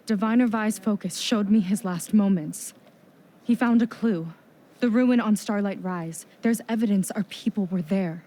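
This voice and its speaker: A woman's voice: medium pitch, normal speed, mellow, close to an everyday voice. A woman playing a determined young soldier in a video game, speaking earnestly and with intensity. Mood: urgent, defiant. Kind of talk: video game cutscene acting.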